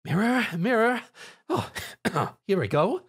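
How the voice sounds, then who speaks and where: A man's voice: high voice, sing-song, takes it slowly, a bit bright, husky, voice pushed up, full character voice. A man voicing a fussy, fashion-minded female pony in a story, sounding flustered. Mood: confused, panicky.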